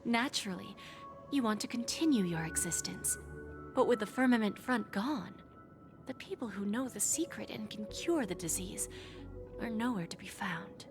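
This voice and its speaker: A woman performs a shrewd, poised pawnbroker from a video game. Her voice sits at an ordinary female pitch and trails into breath at the ends of phrases. She is formal, deliberate and insinuating, with clear music underneath. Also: lively intonation, close to an everyday voice. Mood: measured, intrigued. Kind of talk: scripted game dialogue.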